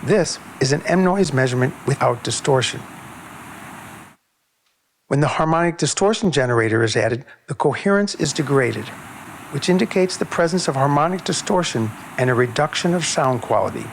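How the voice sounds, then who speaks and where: A man narrating a technical video on sound measurement in a measured, precise, explanatory way. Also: medium pitch, animated, a little hiss or hum.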